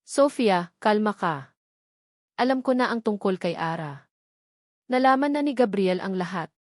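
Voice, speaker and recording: A woman with a Filipino accent voicing a stern, scheming wealthy matriarch in a romance story, grave and measured. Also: medium pitch, expressive, dry recording, studio quality, natural voice. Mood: grave, disappointed.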